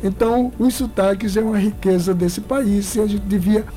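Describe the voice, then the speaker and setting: High, mellow man with a northeastern accent. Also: moderate pace. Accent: mixed northeastern Brazilian. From Bahia, Brazil. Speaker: guest. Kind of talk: interview chat.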